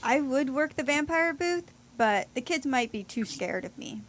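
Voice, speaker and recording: A woman's voice: high voice, animated, normal speed. A woman playing a sardonic hippie goth with macabre tastes in an amateur audio play, dry and playfully sarcastic. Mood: dryly teasing.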